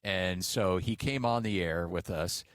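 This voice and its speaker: Low-voiced American sports radio host. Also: male voice, a little slow, clear, resonant tone, no echo, clean studio sound. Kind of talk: casual sports radio banter.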